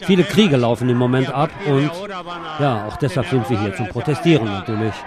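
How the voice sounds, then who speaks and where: A man's voice: medium pitch, brisk. A man giving radio reportage and commentary, recorded outdoors at a street protest. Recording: outdoor recording with crowd behind. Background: crowd and city street sounds.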